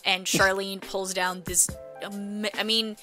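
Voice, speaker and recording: A woman's voice: medium pitch, little pitch movement, unhurried, bright, forceful tone, soft background music. A woman improvising scene descriptions as game master of a tabletop role-playing game.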